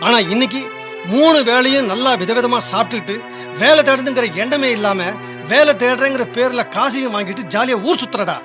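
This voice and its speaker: High-voiced earnest young working man, music behind. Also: fairly quick. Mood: angry, betrayed. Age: young adult. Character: loyal, earnest young working man, later bitterly let down. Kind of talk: Tamil radio drama acting.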